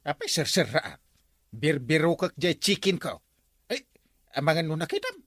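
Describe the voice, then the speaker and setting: A man with an Ilocano accent acting an excitable, blustering village official in a comedy drama. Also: a little high, moderate pace, dry recording, studio quality, full character voice. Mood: anxious. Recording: music behind the dialogue.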